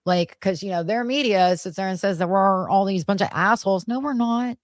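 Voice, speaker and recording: An American woman co-hosting a podcast, chatting casually in a blunt, slangy way and telling stories in an animated manner. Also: medium pitch, a little fast, no room sound, studio quality. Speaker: podcast co-host. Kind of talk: casual chat, animated storytelling.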